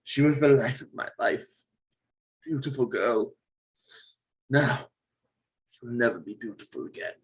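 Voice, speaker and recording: An actor playing an aggrieved Italian immigrant father in a screenplay table read, halting and emotional as he pleads. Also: mid-range voice, natural voice. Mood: anguished.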